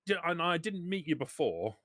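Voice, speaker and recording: Dry recording, man voicing defensive male trader, excitable, swinging intonation, tabletop roleplay. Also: on the high side, moderate pace, no echo, pitched above the performer's own voice. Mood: friendly, hesitant.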